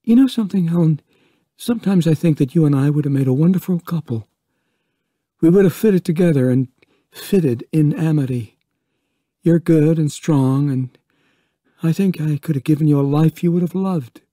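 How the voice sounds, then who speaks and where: A man's voice: a little high, mellow, no room sound, clean studio sound, voice pushed up, lightly acted. A man voicing a weary, hesitant middle-aged man who sounds pleading, in an audiobook reading. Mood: wistful. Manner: hesitant, rushed. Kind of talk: audiobook character voice.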